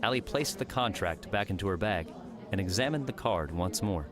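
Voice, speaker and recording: Deep voiced guy narrating an audiobook story, music playing behind. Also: lively intonation, moderate pace.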